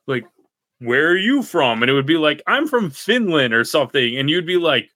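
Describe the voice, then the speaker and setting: A man's voice: on the high side, moderate pace, no room sound, studio quality. A man co-hosting a podcast, telling stories in a casual chat and acting out the quotes in them. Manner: animated storyteller, acts out quotes. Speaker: podcast co-host.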